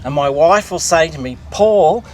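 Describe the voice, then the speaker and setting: A man hosting a casual interview, playful and quick to agree with his guest. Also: on the high side, a bit bright. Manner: playful, laughing, many yeahs. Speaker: host.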